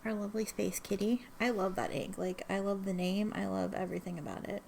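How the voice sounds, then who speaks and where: A woman vlogging casually with a bit of vocal fry running through her words. She is sniffly from allergies and rambles, correcting herself mid-sentence and filling gaps with ums. Faint room noise sits behind her. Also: mid-range voice, fairly even intonation, fairly quick. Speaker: ink swatching vlogger. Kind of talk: casual chatty vlog.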